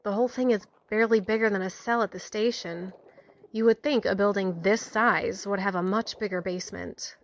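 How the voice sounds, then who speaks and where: A woman playing a calm, pragmatic small-town police officer in an audio drama, speaking gently and reassuringly. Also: medium pitch, normal speed, slight background noise, lightly acted. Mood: conversational. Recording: music under the voice.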